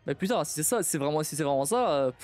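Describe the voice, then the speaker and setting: Young man, casual live-stream chat, stop-start repeats, slightly high voice, faint music behind. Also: lively intonation, darkish tone. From Portuguese family. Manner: halting, many repeats and restarts, laughs often.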